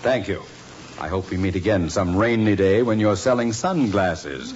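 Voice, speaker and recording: A man playing a smug deadpan official. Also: on the low side, lightly acted. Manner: dry, slow, deadpan delivery. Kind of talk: 1950s radio comedy acting. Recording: dull old radio broadcast with hiss.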